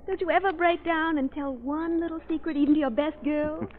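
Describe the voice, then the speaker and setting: An actress playing a curious, lively society woman with an eager, chatty manner in a 1940s-style radio play. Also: high voice, a little fast, narrow, tinny audio, a little hiss or hum, natural voice. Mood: chatty, playful. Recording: thin old radio recording.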